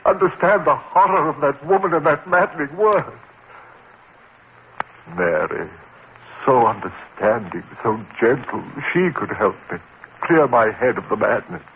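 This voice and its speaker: A man's voice: medium pitch, big pitch swings, a bit bright. An actor playing a tormented man haunted by voices and sliding into madness, in theatrical, anguished 1950s horror radio drama style. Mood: haunted, brooding. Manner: theatrical, anguished, halting delivery. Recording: muffled, noisy vintage 1950s radio recording.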